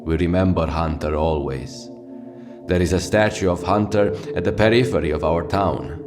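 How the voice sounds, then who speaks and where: A man's voice: low-pitched, normal speed, natural voice. A man playing a world-weary, theatrical radio host delivering a poetic, profane scripted monologue for a fictional wretched city. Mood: wry, reverent. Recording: music behind the voice. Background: loud music.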